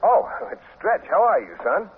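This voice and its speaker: A man's voice: sits high, huge pitch swings, normal speed. An actor playing a shy, formal, naive male teacher and coach with hesitant delivery in a 1950s radio sitcom. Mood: concerned, eager. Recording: tinny, noisy 1950s radio recording.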